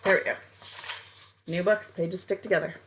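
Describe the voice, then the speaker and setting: A woman's voice: lowish voice, fairly even intonation, normal speed, fairly bright tone, rough recording. A woman leading a children's storytime as a librarian, reading aloud and speaking directly to young listeners with prompts and questions.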